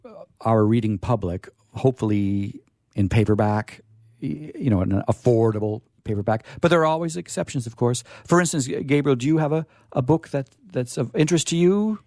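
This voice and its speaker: A man hosting a casual live discussion, with a hesitant, halting delivery. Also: medium pitch, animated, darkish tone, dry recording, studio quality. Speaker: host.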